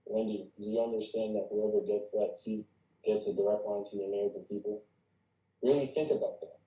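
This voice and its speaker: A man's voice: fairly low pitch, steady tone, brisk, close to an everyday voice. A man playing an impatient, domineering magazine boss in his thirties, curt and dismissive, at a script read. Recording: dull, echoey, distant call audio.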